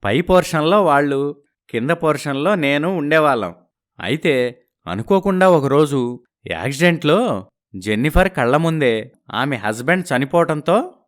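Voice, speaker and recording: Guy acting a slick young husband, placating, fairly high voice that moves around a lot, bits of english. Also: lively intonation, normal speed, pauses often, close to an everyday voice. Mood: persuasive, explaining. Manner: placating, persuasive, drops in English words. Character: smooth-talking, evasive young husband.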